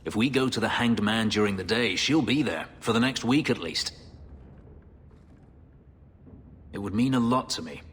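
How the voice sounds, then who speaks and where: An actor playing a brooding, embittered elf warrior who once was a slave, speaking in a grave, measured, intense way. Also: male voice, lowish voice, breathy edge. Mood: earnest, uneasy.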